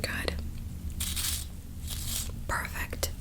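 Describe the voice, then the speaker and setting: A woman doing a soft-spoken ASMR doctor roleplay in a breathy whisper, gently giving reassuring instructions close to the mic. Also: very slow. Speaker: ASMR performer playing a doctor.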